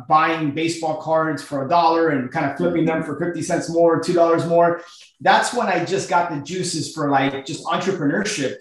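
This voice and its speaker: A middle-aged man from Miami speaking as a podcast guest, telling his story in an earnest, informal, casual way in a very roomy recording. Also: on the high side. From Miami, United States. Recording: very echoey, roomy sound.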